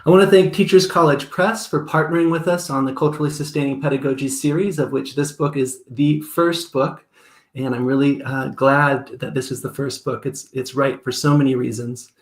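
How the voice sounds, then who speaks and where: Male event host, sincere and thankful, mid-pitched, slight room echo on a video call. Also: steady tone, normal speed. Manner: earnest, grateful, with frequent ums and uhs. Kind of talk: opening remarks on a video call.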